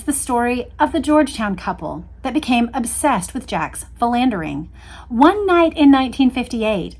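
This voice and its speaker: A woman hosting an expressive book read-aloud, with engaged casual asides addressed straight to her listeners. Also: fairly high pitch. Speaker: host reading a book aloud with commentary.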